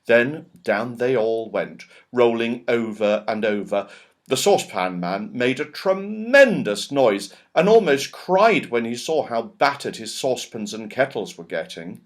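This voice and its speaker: A man narrating a children's story in an expressive, emphatic way. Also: medium pitch, a little slow. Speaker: audiobook reader.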